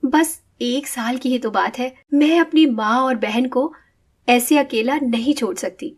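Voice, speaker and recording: A devoted young daughter in a cartoon moral story. Her voice sits fairly high, and she speaks earnestly, pressing her point. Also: unhurried, breathy edge. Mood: firm protest. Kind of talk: animated moral story dialogue.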